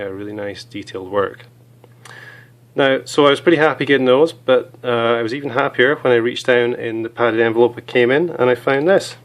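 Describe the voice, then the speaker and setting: A hoarse man with a cold, chatting casually as he reviews small gear items, with many fillers. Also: mid-range voice.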